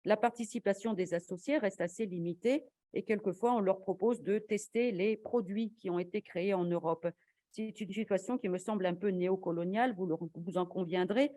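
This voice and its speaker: Soft-toned woman giving an academic lecture. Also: medium pitch, no echo. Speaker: invited conference speaker, academic. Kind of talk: formal academic lecture with slides.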